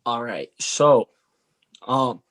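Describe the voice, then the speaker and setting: A man's voice: medium pitch, expressive, extremely slow, fairly bright tone. A man with an ordinary mid-range voice holds forth on a casual sports podcast. His takes are blunt and opinionated, often delivered with a teasing edge. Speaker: podcast co-host.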